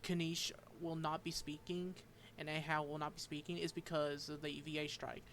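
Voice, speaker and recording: A man streaming a game, reading its dialogue aloud and commenting, halting and stumbling over unfamiliar names. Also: a little high, flat delivery, a bit bright, a little hiss or hum.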